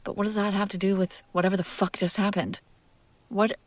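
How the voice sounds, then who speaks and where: A woman's voice: medium pitch, flat delivery, a little fast, lightly acted. A woman playing a possessive, sardonic and curious female demon in an intimate, wry audio roleplay spoken to the listener. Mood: baffled, irritated. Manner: teasing, wry, conversational, breaking into hushed whispers.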